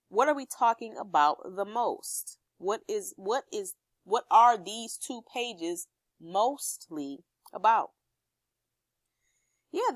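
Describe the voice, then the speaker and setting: A woman teacher reading an informational text aloud to pupils and explaining it, pausing to check understanding with questions. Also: medium pitch, no room sound, studio quality.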